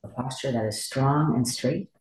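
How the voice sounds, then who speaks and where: A meditation teacher leading a body scan in a gentle, unhurried way, leaving long pauses between instructions. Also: mid-range voice. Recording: echoey room.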